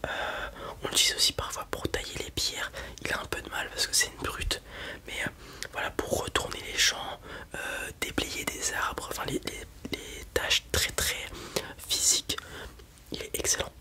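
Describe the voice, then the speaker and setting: A man's voice: moderate pace, sharp tone. A man in an ASMR roleplay, whispering breathily as he casually and hesitantly explains things in the role of a breeder.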